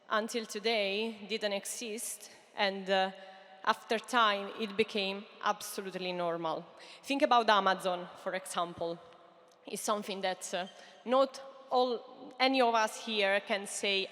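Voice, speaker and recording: A woman in her thirties speaks English with an Italian accent, pitching her company from a big conference stage. She talks earnestly and turns straight to the crowd, with plenty of "uh" fillers. Her voice sits at a middle pitch, and a long hall reverb trails each phrase. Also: a bit bright. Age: 30s. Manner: earnest, addresses the audience directly with frequent fillers. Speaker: startup founder giving a stage pitch.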